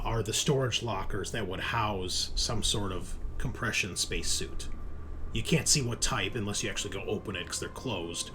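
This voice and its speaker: A man's voice: on the low side, a bit bright, slight background noise. A man running a tabletop roleplaying game as game master, explaining scenes and rules conversationally.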